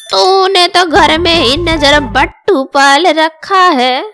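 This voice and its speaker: Lady doing a spiteful gossipy aunty for a storybook tale, very high, stretches out her taunts. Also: a bit bright, faint music behind, full character voice. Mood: mocking. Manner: drawn-out, exaggerated taunting. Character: mocking, spiteful neighbourhood aunty, loudly theatrical.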